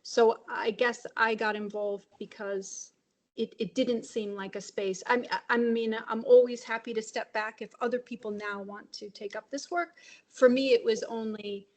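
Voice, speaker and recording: A woman's voice: fairly high pitch, fairly even intonation, moderate pace. A woman translator answering on a webinar panel over a video call, reflective and thinking aloud. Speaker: translator and publisher.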